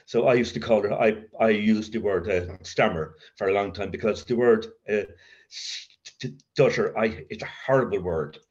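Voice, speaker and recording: An Irish man sharing his recovery story, halting, with stammer blocks and many restarts. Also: on the low side, a little mellow, lo-fi sound. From Ireland. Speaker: speaker at a recovery meeting. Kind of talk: personal recovery share.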